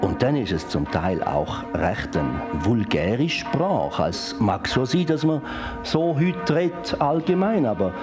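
Male, Swiss German accent, lowish lively pitch, measured disapproval, music and bells behind him. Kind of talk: spoken opinion.

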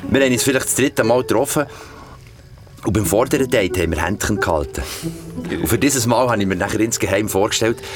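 A man with a Swiss German accent playing an ordinary, excitable guy who retells a horror date in an audio drama, colloquial and vivid. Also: fairly low pitch, brisk, close to an everyday voice. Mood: nostalgic, sheepish. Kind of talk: audio drama first-person storytelling. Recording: studio audio drama with music underneath.

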